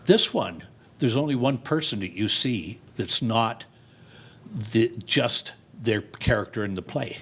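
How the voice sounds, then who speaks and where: A man's voice: medium pitch, unhurried, slightly rough. An older man talking informally and good-humoredly in a television interview, explaining his work as a theater director. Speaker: community theater director and set designer.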